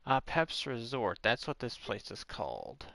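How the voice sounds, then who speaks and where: A man's voice: mid-range voice, wildly swooping pitch, unhurried, gravelly, pushed and bright, no echo. A man doing casual gameplay commentary, talking aloud to the characters in the game as he streams.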